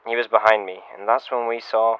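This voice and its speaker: Low-voiced shaken security officer, muffled recording man acting. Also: steady tone, brisk, clear, resonant tone, lightly acted. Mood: tense recounting. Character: shaken male security officer giving an incident report. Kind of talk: first-person scripted audio log. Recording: muffled lo-fi radio-style sound, music behind. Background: music.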